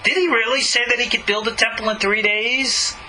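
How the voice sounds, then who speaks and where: A man's voice: very high-pitched, fairly even intonation, brisk, sharp tone, slight background noise, rough recording. A man speaking as a rabbi and teacher, giving emphatic, argumentative scripture commentary full of rhetorical questions.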